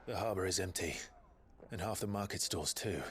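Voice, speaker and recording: An actor playing a grim, watchful outlaw in a video game cutscene, terse and brooding. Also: male voice, deep voice, normal speed, background noise, lightly acted.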